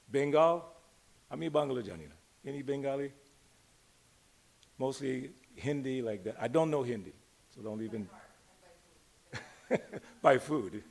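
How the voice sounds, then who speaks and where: Older male religious teacher, somewhat high voice, informal discourse with rhetorical questions and stretched-out emphasis. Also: a little mellow. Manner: conversational, emphatic, slowing and drawing out key words. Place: speaking live to a gathering in a temple.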